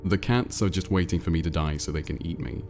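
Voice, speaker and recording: A man with a nasal, congested voice from a cold, chatting casually on a livestream close to the mic and reading viewer messages aloud in a relaxed, rambling way. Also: deep voice, fairly quick, faint music behind. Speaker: livestream host.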